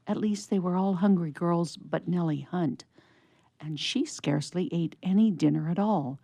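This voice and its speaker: A woman narrator reading an old-fashioned story in a measured, expressive storybook style. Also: fairly low pitch, creaky, no echo.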